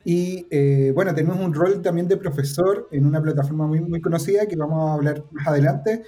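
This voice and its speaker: A man's voice: a little high, fairly quick, warm tone. A man hosting a podcast interview, introducing his guest at length in a friendly way. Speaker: podcast host. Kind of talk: interview host.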